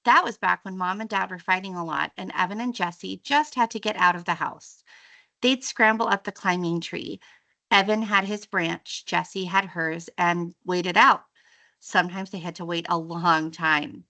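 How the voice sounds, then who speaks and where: Lady reading a kids book out loud, really bright forceful voice, expressive. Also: on the low side, normal speed, gravelly. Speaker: teacher reading a book aloud. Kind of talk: expressive children's audiobook-style story reading.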